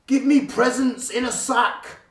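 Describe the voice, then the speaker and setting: A man's voice: very high-pitched, a little slow, gravelly, pitched above the performer's own voice, full character voice. A man voicing a bossy, rotund bearded wizard who eagerly hurries everyone along in a storybook. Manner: shouting orders, hurrying others along. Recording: roomy, distant from the microphone.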